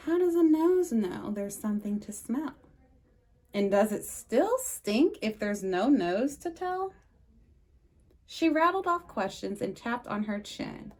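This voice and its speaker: Lively woman reading a picture book. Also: fairly high pitch. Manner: lively sing-song reading with slow emphatic pauses. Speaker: storytime reader.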